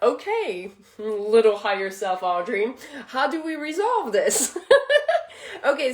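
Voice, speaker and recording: Woman, French native speaker, spiritual host, casual talk, unhurried, laughs often. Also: mid-range voice.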